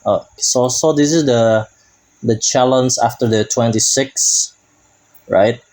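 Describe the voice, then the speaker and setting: A man runs through a game update in a relaxed, unhurried way. His English is halting, and he stops often to search for the right word. His voice sits in a mid range. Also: medium pitch. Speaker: gaming YouTuber. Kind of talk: casual game update commentary.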